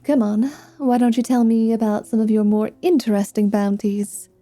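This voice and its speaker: A woman's voice: on the high side, fairly even intonation, moderate pace, warm tone, faint music behind, close to an everyday voice. A woman voicing a flirty, world-weary female dhampir mercenary in a fantasy ASMR roleplay, teasing and dry with dramatic flair.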